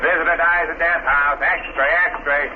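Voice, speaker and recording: An actor playing a brash newsboy chanting headlines like a street hawker in a 1930s radio drama. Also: male voice, a little high, steady tone, unhurried, lightly acted. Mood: urgent hawking. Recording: noisy, dull 1930s radio recording.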